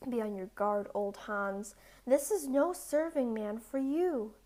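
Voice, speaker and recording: Woman voicing a slow, growling, clownish giant. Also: a little high, darkish tone. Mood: growling, alarmed. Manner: growling, blustering. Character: huge clownish, credulous, easily frightened male giant.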